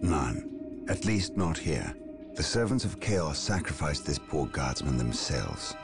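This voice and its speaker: An actor playing a grim, loyal male warrior who speaks slowly and gravely. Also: extremely low pitch, big pitch swings, music in the background, heavily acted.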